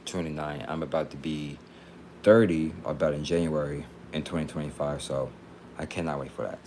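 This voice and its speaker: A man's voice: extremely low pitch, fairly even intonation, normal speed, noisy background. A man in his late twenties from Texas, chatting casually on a livestream in a rambling, repetitive, slangy way. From Texas, United States.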